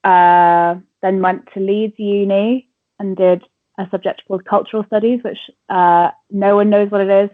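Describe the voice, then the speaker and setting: A woman's voice: mid-range voice, steady tone, normal speed, no echo. A woman in her twenties from Wales with a southern English accent, chatting casually and giggly as a radio reporter being interviewed. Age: 20s. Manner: chatty and informal, giggles often, frequent "like". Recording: thin, narrow phone-like call audio.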